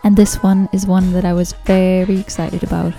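A woman's voice: fairly low pitch, little pitch movement, moderate pace, creaky, warm tone, faint music behind. A woman whose first language is Dutch, reading a travel memoir aloud in a reflective, wry way. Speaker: narrator. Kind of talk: scripted travel memoir narration.

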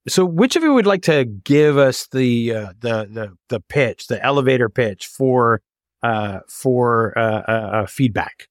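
A man hosts an informal interview. His voice is of middle pitch and he feels his way through questions, repeating words. The sound is dry and free of room echo. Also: animated, unhurried. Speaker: interview host.

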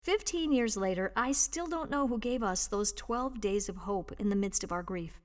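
A woman reading a written personal piece aloud as scripted narration, with a measured, expressive storytelling delivery. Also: mid-range voice. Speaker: volunteer reader and host of an audio reading service.

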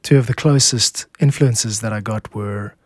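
A man's voice: fairly low pitch, normal speed, dry recording. South African man, dry close podcast narration. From Cape Town, South Africa. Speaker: podcast host, music producer and composer. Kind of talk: scripted explanatory podcast narration.

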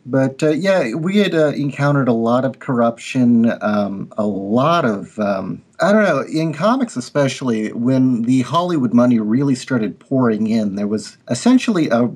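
A man who writes and draws speaks in a measured, critical tone, pausing on fillers. His voice sits at a middle pitch. He is a guest on a podcast panel. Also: lively intonation, darkish tone. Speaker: writer and artist.

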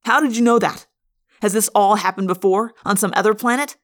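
A woman's voice: mid-range voice, very animated, moderate pace, fairly bright tone. A woman voicing a sardonic, accusing teenage boy in an audiobook, with a sneering, pressing delivery. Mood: pressing, bitter. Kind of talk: audiobook character voice.

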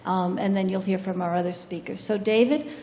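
A woman dean introducing a panel and talking about her program, pausing often on "um". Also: medium pitch, fairly even intonation, long reverb tail, background noise. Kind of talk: panel introduction and short talk. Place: large lecture room.

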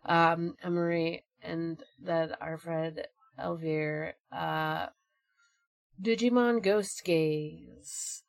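Low-voiced woman chatting on a podcast. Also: no echo. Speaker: podcast co-host. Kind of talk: casual chat.

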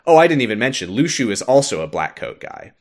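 A man's voice: mid-range voice, very animated, fast talker. A man hosting a podcast, casually recapping a video game in a wry, sweary way. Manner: wry, swears casually, acts out quoted lines. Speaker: podcast host.

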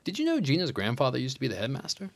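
A man playing a smug, easygoing high school teacher in a naturalistic audio drama, casual and self-satisfied. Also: huge pitch swings, very fast, creaky, full character voice. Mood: defensive, boastful.

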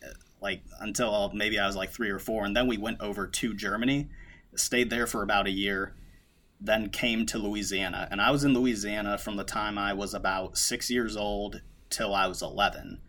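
Young man, podcast guest, low-pitched with a bright edge, loose rambling delivery. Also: normal speed. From United States. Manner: relaxed, rambling with many fillers and restarts. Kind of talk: casual conversation.

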